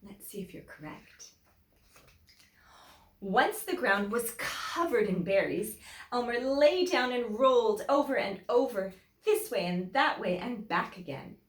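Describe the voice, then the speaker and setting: Woman reading storytime slowly in an echoey room. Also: on the high side, animated. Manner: deliberate, with emphatic phrasing for young listeners. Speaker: teacher reading a picture book aloud. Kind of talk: expressive children's storytime read-aloud.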